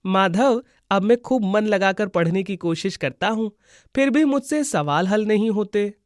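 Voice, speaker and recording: Man voicing an earnest, high-pitched schoolboy. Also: lively intonation, a little slow, dry recording, studio quality. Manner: pleading, earnest. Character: earnest schoolboy, emotional and devoted to his friend. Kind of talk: Hindi moral-story cartoon voice acting.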